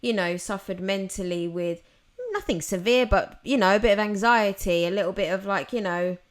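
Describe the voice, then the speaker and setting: A woman hosting a podcast, asking questions in a soft, unhurried way with plenty of fillers. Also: mid-range voice. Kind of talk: relaxed interview chat.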